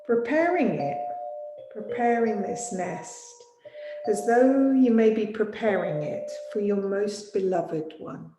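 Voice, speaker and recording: A woman teaching yoga nidra, guiding a sleep meditation in a gentle, soothing, unhurried manner with long pauses. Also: medium pitch, expressive. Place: small studio. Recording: roomy echo, music behind voice.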